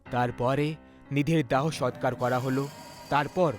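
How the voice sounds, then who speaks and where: A man's voice: mid-range voice, steady tone, a little slow. A man narrating a scripted horror story in a dramatic storytelling style. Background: background music.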